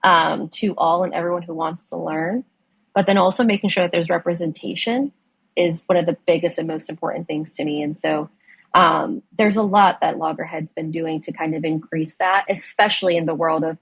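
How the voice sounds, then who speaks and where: A woman whose first language is Spanish talks about her work with eager enthusiasm, often restarting her sentences and filling pauses with "um." Her voice is lowish and husky, and it sounds close and dry with no room around it. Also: fairly even intonation, no echo, poor audio. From United States. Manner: enthusiastic, many fillers and restarts. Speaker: ocean conservation director, guest. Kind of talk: conversational interview.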